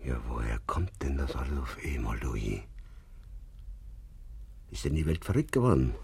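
Very deep, husky, proud old father. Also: sing-song, normal speed, a little mellow, full character voice. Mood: agitated, shaken. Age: older. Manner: emphatic, agitated, swinging from muttering to outbursts. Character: proud, stern old village father, honour-obsessed churchwarden, hot-tempered. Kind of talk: naturalistic German radio play acting.

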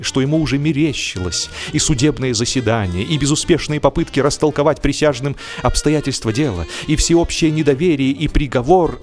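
A man narrating a humorous story as a scripted reading, theatrical in delivery, with drawn-out words and emphatic swings. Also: mid-range voice. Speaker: audiobook narrator. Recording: music behind the voice. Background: music.

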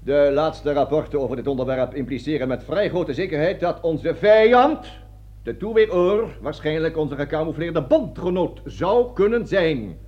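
A man's voice: a little high, lively intonation, moderate pace, natural voice. An actor playing a bossy, pompous army colonel who lectures his troops in a satirical radio play. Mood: pompous, emphatic. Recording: dull lo-fi old radio recording.

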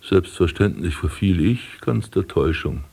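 An actor playing an embittered, melancholy aristocratic prince in a German radio drama, with theatrical, eloquent delivery. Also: male voice, deep voice, animated, measured pace, gravelly, mellow, breathy edge, heavily acted. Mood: resigned, melancholy. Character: embittered, melancholy aristocratic prince recalling his wasted life and burial.

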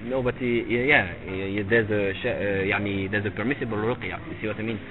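A middle-aged man teaching a religious lesson to a live audience, halting and repeating himself and often checking with "you see?". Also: fairly low pitch, steady tone. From Tunisia. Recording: phone-like lo-fi recording with crowd noise. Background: listeners gathered in the room.

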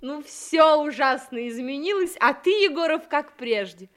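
High, girlish young woman. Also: expressive, measured pace. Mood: sweet, carefree. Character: pretty, sweet, lighthearted young woman. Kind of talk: Soviet radio drama acting.

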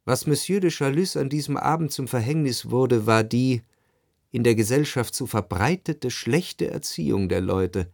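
An actor playing a reflective, analytical narrator who comments on society in a literary radio drama. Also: male voice, mid-range voice, lively intonation, normal speed, vocal fry, darkish tone, dry recording, studio quality.

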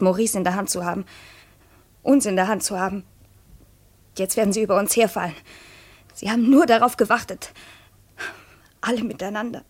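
An actress playing an anxious, embittered woman with a husky voice and hesitant intensity, in a 1950s German radio drama. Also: mid-range voice, darkish tone, lightly acted. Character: anxious, embittered woman fearing the town's contempt.

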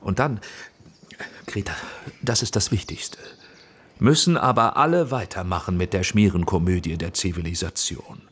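Low-voiced, courtly, persuasive film director. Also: male voice, normal speed, fairly bright tone, husky. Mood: intense, visionary. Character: Austrian male film director, courtly, flattering, persuasive visionary. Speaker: actor in a radio drama. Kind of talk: radio drama acting.

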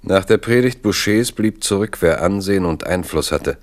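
A man's voice: deep voice, animated, normal speed. A man narrating a scripted historical radio drama.

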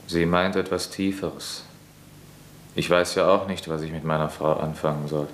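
An actor playing a sober military man who speaks calmly and corrects others in a radio play. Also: very deep voice, moderate pace, roomy sound, a little hiss or hum. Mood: weary. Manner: calm, correcting.

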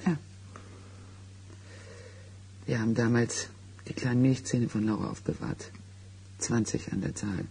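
Radio drama role: haunted man confiding an old secret, very husky, mellow, halting and dramatic. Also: medium pitch, steady tone. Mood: intense, confiding. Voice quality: husky, gravelly. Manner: halting, dramatic storytelling with weighted pauses. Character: haunted man recounting an eerie childhood secret. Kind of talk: German radio play acting.

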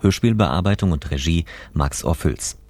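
Deep, formal vintage male radio announcer. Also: darkish tone, no room sound. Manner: deliberate, formal.

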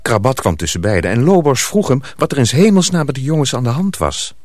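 A man's voice: medium pitch, sing-song, quick pace, a little mellow, no room sound, studio quality. A man narrating a radio drama from a script.

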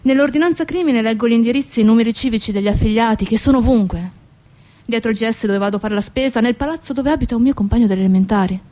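A woman narrating a literary dramatic monologue in measured, recited phrases. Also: fairly high pitch, dark tone. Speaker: stage actress-narrator.

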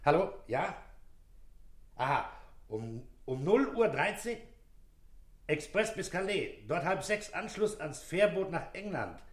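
An actor in a German radio play, playing a calm, dogged police commissioner who asks clipped, probing questions. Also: male voice, a little high, takes it slowly, pauses often, reverberant. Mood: brisk, businesslike.

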